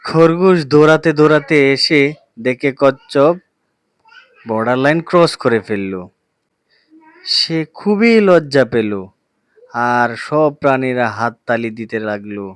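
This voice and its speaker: A man narrating a scripted children's fable as a storyteller, in a deliberate, drawn-out manner. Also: medium pitch, lively intonation, smooth, dry recording.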